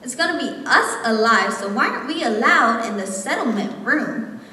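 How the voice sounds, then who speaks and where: Young female slam poet, emphatic and rhythmic, higher voice, reverberant room with faint noise. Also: expressive, moderate pace, sharp tone. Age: young adult. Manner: impassioned, rhythmic, emphatic delivery. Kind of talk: slam poetry recitation. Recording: echoey hall, distant mic, faint noise.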